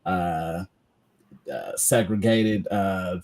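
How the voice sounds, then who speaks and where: A man's voice: low voice, drawn-out words, a little mellow. A man co-hosting a podcast, talking in a loose, rambling way with many fillers. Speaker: podcast co-host. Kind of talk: casual chat.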